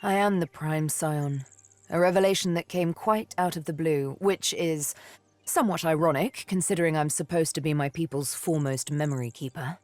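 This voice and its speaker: A woman's voice: low voice, normal speed, darkish tone, no room sound, lightly acted. A woman playing a cool, sardonic, vengeful elf assassin in a fantasy game, poised, wry and intimate. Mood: wry, relieved. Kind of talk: fantasy video game acting.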